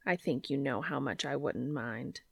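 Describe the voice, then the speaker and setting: A woman voicing a calm, reassuring and quietly bossy man in an audiobook-style story, measured and wry. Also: extremely low pitch, gravelly, slightly rough, dry recording, voice pushed down, exaggerated voice. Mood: low, reassuring.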